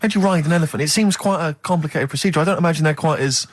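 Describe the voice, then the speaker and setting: A man with a slightly high voice, recorded close and dry. He talks quickly in casual comic chat, posing mock-earnest questions as a radio co-host. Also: no echo. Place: radio studio.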